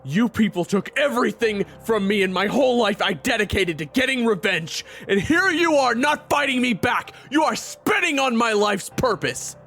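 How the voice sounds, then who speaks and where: A man's voice: on the low side, steady tone, drawn-out words, hardly any pauses, sharp tone, heavily acted. A man voicing a proud, hot-headed young fighter bent on revenge in an audio drama, snarling and defiant. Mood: bitter rage. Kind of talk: dramatic audio roleplay acting. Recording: echoey reverb, music behind.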